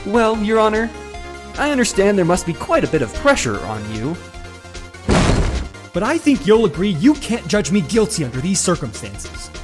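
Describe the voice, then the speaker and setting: A man's voice: high voice, wildly swooping pitch, moderate pace. A man acting an earnest, anxious young defence lawyer in a video game drama, emphatic and pleading. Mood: confident, pressing. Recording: music under the voice.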